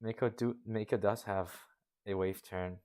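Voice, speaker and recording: A man's voice: on the low side, steady tone, normal speed, a little mellow. A young man hosting a casual contest review, rambling through frank critique with many fillers. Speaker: host judging a coaster-building contest. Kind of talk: casual commentary over gameplay.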